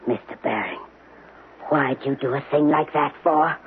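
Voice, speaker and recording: A woman playing a stern, suspicious woman in a radio drama, husky-voiced and relentlessly pressing for answers. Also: a bass voice, moderate pace, slight background noise. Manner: insistent, interrogating. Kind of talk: 1970s radio drama acting. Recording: tinny old radio broadcast.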